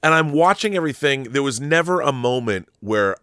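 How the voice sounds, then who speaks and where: A man's voice: medium pitch, expressive, fairly quick, bright, forceful tone, clean tone, no echo, studio quality. A man hosting a film commentary show, talking in a casual, opinionated monologue with an effusive, emphatic, rambling manner and some swearing.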